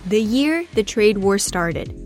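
A woman correspondent narrating a news report in a crisp, measured way. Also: medium pitch, lively intonation, music in the background.

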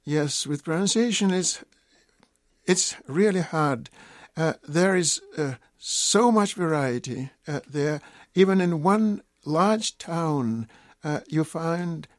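An older man, a native Russian speaker with a Russian accent, talking deliberately and hesitantly as an expert guest in a radio interview. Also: a little high, lively intonation, dry recording, studio quality.

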